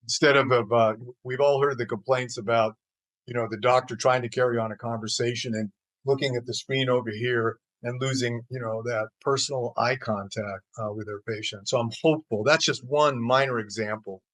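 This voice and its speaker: An older American man giving measured, explanatory answers as an interview guest, pausing as he forms his thoughts. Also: medium pitch, fairly even intonation, fairly bright tone, dry recording, low-quality recording. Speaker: interview guest, healthcare executive.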